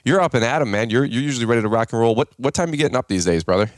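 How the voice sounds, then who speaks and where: A man hosting a casual podcast, with a raspy morning voice, upbeat and talkative with lots of fillers and restarts. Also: mid-range voice, expressive, racing, dry recording, studio quality. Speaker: podcast host.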